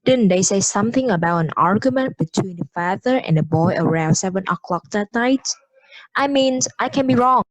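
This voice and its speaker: A woman's voice: medium pitch, lively intonation, normal speed, no room sound, natural voice, poor audio. A woman playing an unsure, mild-mannered juror in an amateur audio drama. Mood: hesitant.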